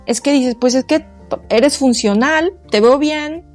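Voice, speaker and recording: A woman, a guest speaking earnestly in a halting way, often searching for words. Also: high-pitched, darkish tone, soft background music. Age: 40s. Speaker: guest, quantum therapist. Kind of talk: casual interview conversation.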